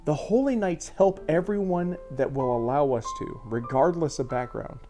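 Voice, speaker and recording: A man's voice: fairly high pitch, lively intonation, normal speed, mellow. A man voicing a troubled, earnest teenage son in an audiobook story, with music underneath. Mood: earnest, pleading.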